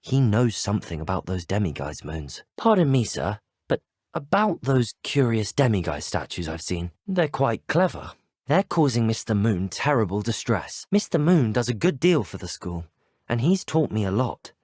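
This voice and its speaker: A young British student wizard, the hero of a video game. He speaks politely and with curiosity in a low, slightly husky voice. His delivery is measured and well-spoken, recorded very dry and close. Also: big pitch swings, a little mellow, no echo. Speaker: video game player character. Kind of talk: scripted game dialogue.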